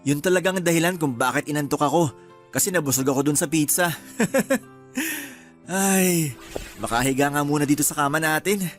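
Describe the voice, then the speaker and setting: An actor playing a tired, affectionate young husband in a Filipino radio drama, soothing and calm, with a Filipino accent. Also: male voice, a little high, normal speed, soft background music, close to an everyday voice. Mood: weary, affectionate.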